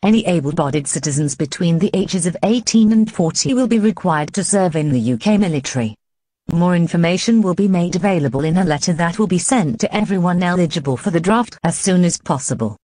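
Lowish, dry-sounding woman broadcast announcer. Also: animated, brisk, warm tone, no room sound. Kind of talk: formal scripted emergency broadcast.

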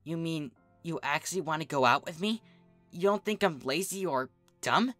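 Guy doing a cocky but vulnerable tomboy pegasus girl, high and bright, cartoon pony story, music behind. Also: normal speed, no echo. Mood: hopeful, questioning. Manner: cocky yet vulnerable, excitable. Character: brash, insecure young female pegasus pony, tomboyish.

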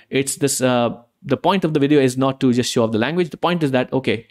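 A young man whose first language is Hindi, presenting a screen-recorded software tutorial in a casual, explanatory way with frequent fillers and restarts. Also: medium pitch.

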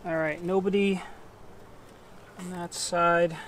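A middle-aged man working as a beekeeper, calmly talking to himself outdoors on a farm while he works. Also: high-pitched, takes it slowly, a little hiss or hum. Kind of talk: casual hands-on narration.

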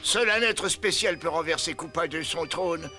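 A man's voice: fairly high pitch, normal speed, pushed and bright, faint music behind. A man voicing a fussy, theatrical old bearded wizard in a cartoon dub. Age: older. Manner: theatrical, pompous.

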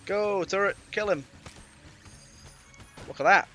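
British male gaming YouTuber, bright slightly raspy voice with a cold, playful casual commentary over game music. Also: sits high, takes it slowly. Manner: playful, mildly sweary. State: has a cold. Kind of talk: casual let's-play commentary.

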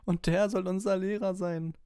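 Male reader as storybook schoolboy bully: sneering, mocking, pitched well up. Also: fairly even intonation, normal speed, mellow, smooth, no echo, studio quality, lightly acted. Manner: mocking, jeering.

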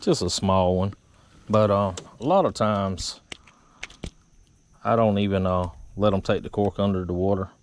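A middle-aged man, chatting casually in a relaxed drawl while fishing from a small boat on a river. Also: deep voice, unhurried, long pauses, slight background noise. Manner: relaxed drawl with frequent uh fillers. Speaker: fishing vlogger. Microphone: action camera on the boat.

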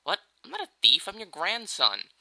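An amateur performer voicing a whiny, exasperated boy hero with a sarcastic, halting, casual delivery in a video game parody. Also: mid-range voice, wildly swooping pitch, creaky, pushed and bright, full character voice. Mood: sarcastic, awkward.

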